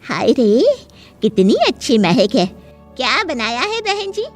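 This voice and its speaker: A woman's voice: a little high, very animated, a little slow, faint music behind. A woman voicing an older visiting mother, effusive and slyly polite, in a cartoon family drama. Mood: gushing.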